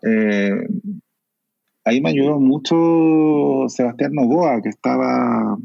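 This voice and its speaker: A Chilean novelist in his forties with a Chilean Spanish accent, speaking thoughtfully, with many pauses and fillers. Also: medium pitch, creaky, no echo, highs cut off. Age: 40s. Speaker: novelist guest. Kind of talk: reflective conversation.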